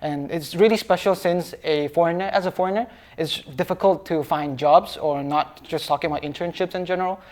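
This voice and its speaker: A young man on a student panel, explaining in an earnest, measured way. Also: a little high. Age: young adult. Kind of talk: casual panel chat.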